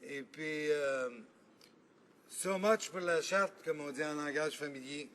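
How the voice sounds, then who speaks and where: A man with a Quebec French accent, a member of parliament giving an earnest, argumentative debate speech in a legislative chamber and pausing often to think. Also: on the high side, a bit bright, slight background noise. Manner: earnest, argumentative, thinking aloud with frequent pauses.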